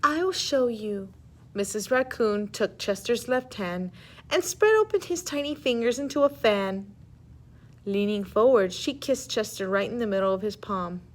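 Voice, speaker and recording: A woman teacher reading a children's story aloud in an expressive, instructive way and asking pupils questions. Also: medium pitch, moderate pace.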